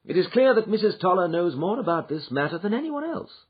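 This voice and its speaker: A man reading an audiobook voices a shrewd, energetic detective who speaks briskly and commandingly, with a husky tone. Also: high-pitched, lo-fi sound, voice pushed up, exaggerated voice. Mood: keen.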